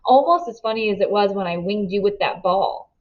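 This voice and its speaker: A woman's voice: medium pitch, expressive, fairly quick, natural voice. A mean schoolboy bully from a children's storybook, acted out by a woman reader. He is taunting and sneering, full of mockery. The recording is slightly dull and lo-fi. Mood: mocking.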